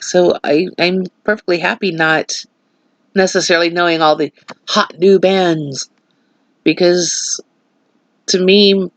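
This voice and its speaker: A woman's voice: fairly low pitch, no echo. Stuffy-nosed woman chatting, dry sound. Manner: rambling, opinionated asides. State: stuffy nose, slightly nasal. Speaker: vlogger. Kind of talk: casual chat.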